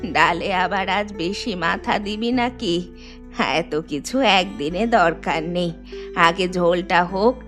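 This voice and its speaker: A woman's voice: fairly low pitch, soft background music. A woman voicing an elderly Bengali mother-in-law in a cartoon, firm and traditional, fondly chiding and instructing. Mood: fondly fussing. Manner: unhurried, instructive, gently chiding. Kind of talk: animated moral story voice acting.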